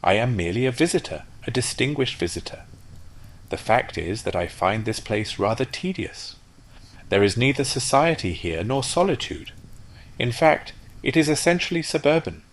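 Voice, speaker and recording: A man's voice: mid-range voice, lively intonation, moderate pace, voice pushed up. A man doing a haughty, pompous firework rocket. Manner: haughty, self-important, lecturing.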